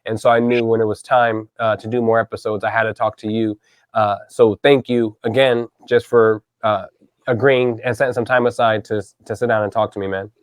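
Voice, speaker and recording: A man's voice: on the low side, little pitch movement, a little fast, no room sound, studio quality. A man in his thirties hosting a casual podcast interview, enthusiastic and chatty, with lots of "dude" and "man". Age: 30s. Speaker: podcast host.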